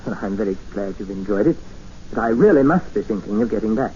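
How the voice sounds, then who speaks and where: An actor playing an educated, polite but increasingly desperate captive in a 1950s radio drama. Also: male voice, on the low side, expressive, fast, dark tone, slight background noise, natural voice. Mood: polite unease. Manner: polite, formal, earnest. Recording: dull vintage radio recording with music.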